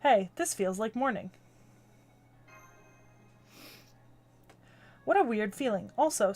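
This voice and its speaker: A cheerful, eager young swordswoman, voiced by a woman reading a game aloud, in a bubbly, chatty voice at ordinary pitch. She talks quickly and brightly about what excites her. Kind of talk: reading a game's story aloud on stream.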